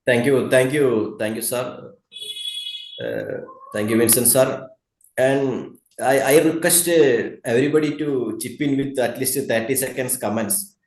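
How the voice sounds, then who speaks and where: A man's voice: medium pitch, animated, unhurried, a little mellow. A man with an Indian accent politely hosting a webinar as its presenter. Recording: echoey room over online meeting.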